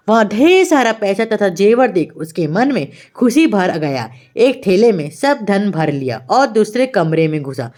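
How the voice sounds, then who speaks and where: A woman's voice: medium pitch, a little mellow. A woman storyteller reading a scripted moral tale as narration.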